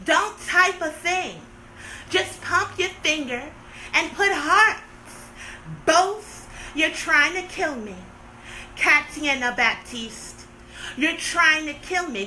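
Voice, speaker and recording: A woman in her thirties hosts a casual livestream with a high, bright voice, teasing her viewers with playful sarcasm. She takes her time, drawing words out. A faint hiss of room noise sits under her voice. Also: low-quality recording. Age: 30s. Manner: playful, sarcastic, theatrical complaining. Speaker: livestream host. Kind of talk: casual live-stream chat with viewers.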